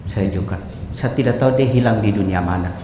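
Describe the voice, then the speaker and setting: A man's voice: fairly low pitch, fast talker, very noisy. A man presenting his office's work, politely answering a judging panel. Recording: echoey hall.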